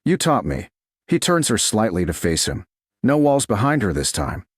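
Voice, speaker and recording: A man narrating a scripted romance story in a measured, dramatic way, in short clipped phrases. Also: fairly low pitch, very animated, breathy edge, dry recording, clean studio sound. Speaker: audiobook narrator.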